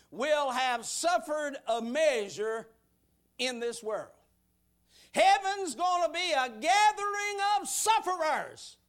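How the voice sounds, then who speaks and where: A man in his sixties preaches in a strikingly high, swooping voice. He builds repeated lines into shouted bursts of praise, then falls back to quiet, folksy asides. He takes his time, stretching out the big words. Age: 60s. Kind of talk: sermon.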